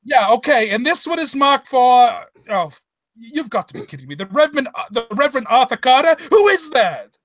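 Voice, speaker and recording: A man's voice: very high-pitched, big pitch swings, moderate pace, a bit bright, dry recording, exaggerated voice. A man playing a flustered, stammering, easily exasperated doctor in a comic old-time radio drama.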